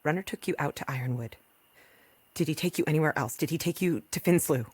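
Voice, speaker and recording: A woman playing a rural doctor and protective mother in a post-apocalyptic audio drama, with a husky voice. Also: sits low, quick pace, darkish tone, no echo. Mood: worried, guarded.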